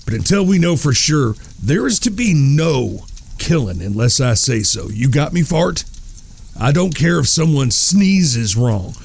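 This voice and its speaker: An actor playing a stern, protective outlaw older brother in a Western audio drama, with an American Southern accent and a folksy, commanding way of talking. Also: male voice, fairly high pitch, big pitch swings, a little slow, creaky, a little mellow, husky, exaggerated voice.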